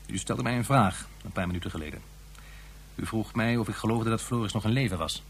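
A man playing a grave, concerned professional advisor in a science fiction radio drama, formal and deliberate. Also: lowish voice, a little hiss or hum, natural voice. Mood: grave, earnest.

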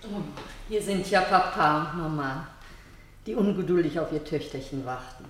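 An actress playing a chatty, polite and proper convent nun in a German radio drama, with a genteel, fussy manner. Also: female voice, low-pitched, normal speed, slight background noise, natural voice. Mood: politely chatty. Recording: echoey roomy radio play sound.

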